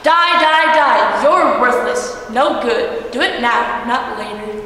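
Woman doing taunting hallucinated voices, slow, jeering, fairly high, booming hall echo, distant. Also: a bit bright, a little hiss or hum, close to an everyday voice. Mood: menacing. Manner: slow, jeering, sometimes sing-song. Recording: echoey hall from far off.

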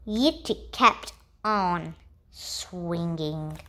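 A woman's voice: fairly low pitch, very slow, a bit bright. A woman reading a children's picture book aloud as scripted narration. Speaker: storybook reader.

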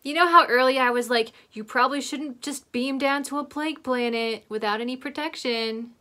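A woman's voice: high voice, normal speed, sharp tone, soft background music. Woman giving casual reaction commentary over soundtrack. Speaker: host reacting to a TV episode. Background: soundtrack of the episode.

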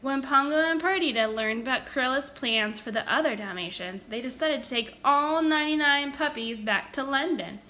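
A woman reading a children's story aloud in an expressive, animated storytelling manner with emphatic stresses. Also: sits high, normal speed, few pauses. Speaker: storybook reader.

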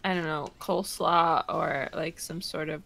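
A woman in casual chat during an online game, with a playful, excitable manner. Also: deep voice, unhurried, vocal fry.

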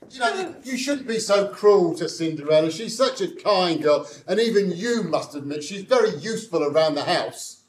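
A man acts a henpecked, mild-mannered father and old soldier in pantomime style. His voice is high for a man, hesitant and gently blustering. It is captured in a roomy, echoing stage space. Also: lively intonation, moderate pace, hardly any pauses. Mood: mild, pleased. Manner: hesitant, mildly indignant, proper. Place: indoor stage, amateur theatre performance. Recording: roomy stage recording, noticeable background noise.

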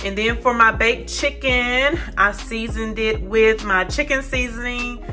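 A woman's voice: a little high, a little slow, sharp tone, soft background music. A woman in her thirties with an American accent, chatting casually and informally to her viewers as a vlogger. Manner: informal, addresses viewers as y'all. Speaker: lifestyle vlogger, host. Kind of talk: casual vlog chat to viewers. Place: parked car and home kitchen.

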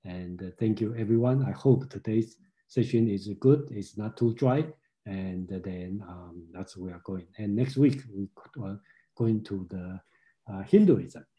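A man's voice: fairly low pitch, unhurried, dark tone. A man in his sixties from Taiwan hosting an online discussion group on a video call from home, talking with many fillers and restarts. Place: home room. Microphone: laptop webcam on a video call.

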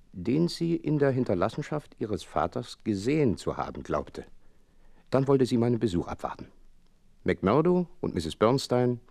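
An actor playing a proper, puzzled doctor who also narrates an audio drama in a formal, measured way. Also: male voice, lowish voice, creaky, darkish tone. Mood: composed narrating.

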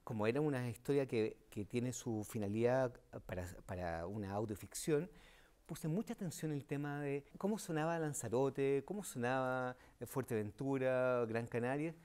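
A man's voice: mid-range voice, normal speed, darkish tone. A middle-aged man giving spontaneous interview answers as a writer, searching for words as he goes. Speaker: audio fiction writer. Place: indoor interview set. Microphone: lapel.

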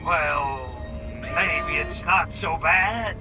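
An actor playing a cocky, wisecracking alien invader in a video game cutscene, sarcastic and blustering. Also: male voice, fairly high pitch, extremely slow, recorded from a distance, over music, rough recording. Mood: alarmed sarcasm.

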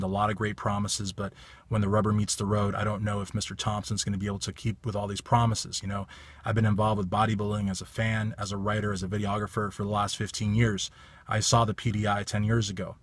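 Raspy man vlogging from his car. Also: on the low side, no echo. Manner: blunt, emphatic, repeats stock phrases. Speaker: bodybuilding commentator. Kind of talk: casual opinion vlog. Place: parked car. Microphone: phone at arm's length.

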